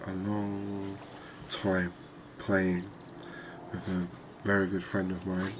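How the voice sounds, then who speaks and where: A man giving calm, unhurried, soft-spoken ASMR gameplay commentary, musing aloud as he plays. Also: low-pitched, noisy background. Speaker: gaming video host.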